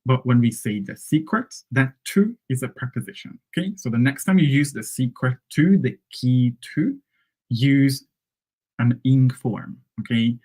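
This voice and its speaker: A man whose first language is Hungarian coaches English learners in a loose, conversational way. He explains phrases step by step and checks in often with "right?" and "okay?". His voice sits in an ordinary middle pitch. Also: lively intonation, unhurried, dark tone, slightly rough. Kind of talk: live-streamed English lesson.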